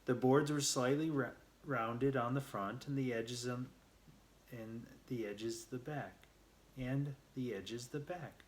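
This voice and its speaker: A man telling a children's story aloud, reading a little haltingly and repeating words as he goes. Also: medium pitch.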